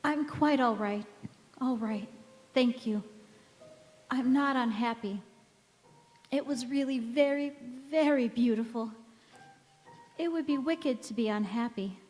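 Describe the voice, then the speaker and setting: An actress playing a gentle, devoted elderly wife and mother in a stage radio play, wistful and serenely weary. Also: a little high, slow, pauses often. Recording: echoey hall, music behind.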